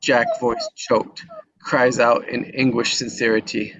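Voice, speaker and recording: A man's voice: mid-range voice, flat delivery, slow pace, lo-fi sound, noisy background. A man reading scripted action descriptions as narrator at a screenplay table read.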